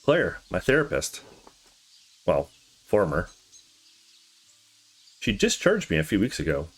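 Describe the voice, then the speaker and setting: A man voicing a troubled, candid young visual novel character who confides past heartbreak in a halting, confessional way. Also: deep voice, huge pitch swings, breathy edge, soft background music, pitched below the performer's own voice, heavily acted. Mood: heavy, vulnerable.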